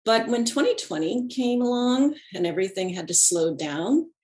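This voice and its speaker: A middle-aged woman guest in a reflective conversational interview, speaking thoughtfully and unhurriedly with soft laughs between thoughts. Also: a little high, animated, moderate pace, low-quality recording. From United States. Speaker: podcast guest, animist and ritualist.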